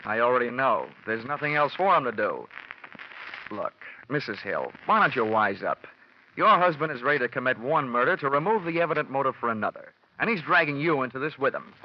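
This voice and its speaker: An actor playing a cocky, wisecracking insurance investigator in an old radio detective drama, with a sardonic, slangy delivery. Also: male voice, mid-range voice, lively intonation, a little fast, a little hiss or hum, natural voice. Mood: sardonic, guarded. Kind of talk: 1940s-50s radio detective drama acting. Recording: dull vintage radio recording, music behind.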